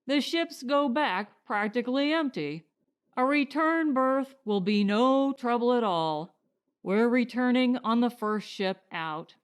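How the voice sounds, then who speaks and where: Principled male settler for audiobook female reader, pointed and firm, slightly high pitch, unhurried. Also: animated, clean tone. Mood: resolute. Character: principled, blunt husband and would-be settler. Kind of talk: audiobook character dialogue.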